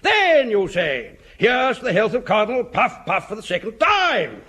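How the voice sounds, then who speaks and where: High-pitched jovial old British officer. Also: male voice, huge pitch swings, moderate pace, bright, forceful tone, breathy edge, heavily acted. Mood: tipsy relish. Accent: upper-class English. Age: older. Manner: chortling, hearty, instructing with relish. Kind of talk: vintage radio sitcom acting. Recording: noisy old radio recording.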